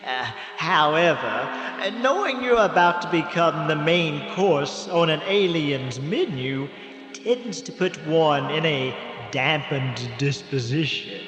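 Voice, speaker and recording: A man playing a wry old morgue attendant, telling a tale in an unhurried, wordy radio-drama narration. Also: a little high, animated. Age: older. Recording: echoey, reverberant, loud music behind.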